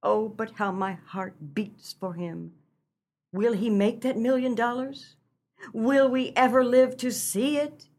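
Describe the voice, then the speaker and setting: A woman's voice: on the high side, fairly even intonation, takes it slowly, darkish tone. An actress playing a kindly, fretful old farmer's wife in a comic radio melodrama, earnest and motherly in a theatrical way. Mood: anxious longing.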